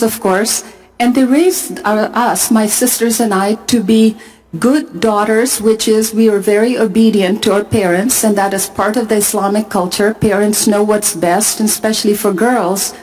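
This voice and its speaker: A woman's voice: fairly high pitch, fairly even intonation, a little mellow, rough recording. Earnest middle-aged woman giving testimony. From Pakistan. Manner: measured, earnest storytelling with deliberate pauses. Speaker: conference speaker. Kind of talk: testimony talk to a conference audience. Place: large arena.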